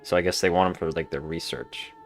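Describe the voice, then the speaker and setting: Low-voiced guy doing casual game commentary. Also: fairly even intonation, quick pace, faint music behind. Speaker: gamer commenting on a playthrough.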